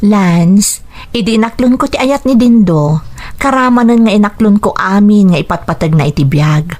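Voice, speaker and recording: A woman's voice: mid-range voice, animated, moderate pace, a little mellow, lightly acted. A woman playing a devoted, earnest young working woman in love in an Ilocano radio drama.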